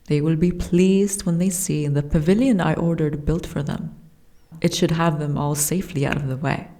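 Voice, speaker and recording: A woman playing a gentle, refined, weary giantess princess in a fantasy ASMR roleplay, with soft, genteel, old-fashioned diction. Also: deep voice, steady tone, moderate pace. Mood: stately, reflective.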